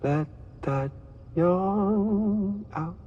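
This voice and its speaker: A woman singing slowly in a song. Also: mid-range voice, mellow, husky, muffled recording. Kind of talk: slow singing.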